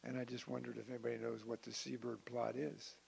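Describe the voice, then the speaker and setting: A man speaking informally as a council member at a meeting, with a hesitant, halting delivery. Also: mid-range voice, level tone, gravelly, darkish tone. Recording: hissy meeting-room audio.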